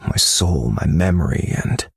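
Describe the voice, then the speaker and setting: A man playing a brooding, haunted, distrustful novelist in a video game, with a gravelly, husky voice, delivering a dramatic monologue. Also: low voice, expressive, measured pace, no echo, studio quality, full character voice. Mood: somber, reflective. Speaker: game character voice actor.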